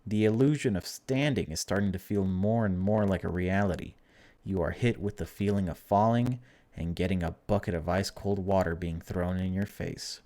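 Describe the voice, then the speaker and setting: Low-voiced man doing gameplay commentary. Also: normal speed, dark tone. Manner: dramatic reading broken by casual wry asides. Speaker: gaming video host. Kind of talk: gameplay commentary, reading on-screen story text aloud.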